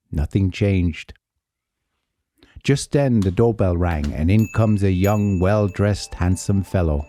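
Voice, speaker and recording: A male storyteller delivers a scripted folk tale in a fairly low, mellow voice. His telling is measured and theatrical, with deliberate pauses, and music plays underneath. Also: no room sound. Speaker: storyteller, narrator.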